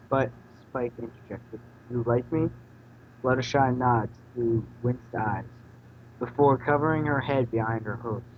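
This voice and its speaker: A man casually reading a story aloud and voicing its dialogue. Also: mid-range voice. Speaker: reader in a group fanfiction reading. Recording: dull, faintly noisy home recording.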